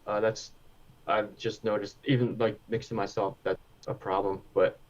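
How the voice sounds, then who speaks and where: A man gives his advice in a relaxed, unhurried way, thinking aloud as he goes. His voice comes through slightly dull and lo-fi, as if over a call. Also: lowish voice. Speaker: panel guest. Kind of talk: casual panel discussion.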